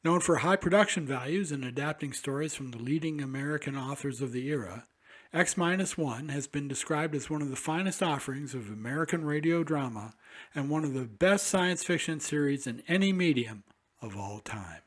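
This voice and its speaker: A man introducing an old radio program in a formal announcer style. His voice sits a little high and sounds husky. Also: expressive, normal speed. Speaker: host.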